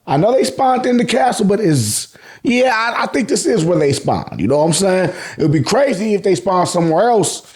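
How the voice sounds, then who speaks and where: A man's voice: sits high, sing-song, moderate pace. An American man hosting a casual, slangy recap, telling the story colloquially and acting out reactions. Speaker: YouTube host recapping manga.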